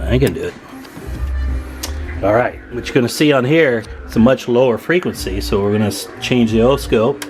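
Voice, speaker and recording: A man giving an informal repair walkthrough as a technician, thinking aloud while he works. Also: medium pitch. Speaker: radio repair technician, video host. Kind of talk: casual hands-on repair walkthrough.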